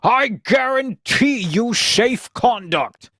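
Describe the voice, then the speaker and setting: A man's voice: very high voice, expressive, bright, forceful tone, no room sound, clean studio sound, full character voice. A man playing an earnest, anguished idealist in an impassioned, theatrical radio play reading. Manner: impassioned, dramatic, drawn-out delivery. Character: earnest, anguished male idealist pleading for peace.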